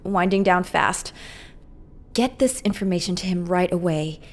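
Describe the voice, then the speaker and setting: A woman's voice: lowish voice, unhurried. An actress playing a defiant, weary woman agent on the run from captivity in a video game cutscene. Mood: urgent, tired.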